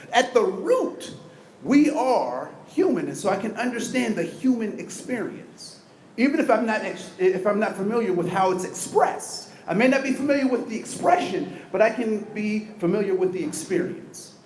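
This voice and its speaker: An energetic man with a high voice leads a diversity training session, often asking the audience questions. He is recorded in an echoey room with faint background noise. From United States. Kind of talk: interactive training talk.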